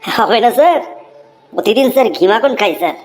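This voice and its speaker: A woman's voice: a little high, animated, brisk, a little mellow, close to an everyday voice. A woman voicing cheeky, smart-mouthed young pupils answering a teacher with mock respect in a comic cartoon. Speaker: cartoon voice actor. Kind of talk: comic Bengali cartoon dialogue.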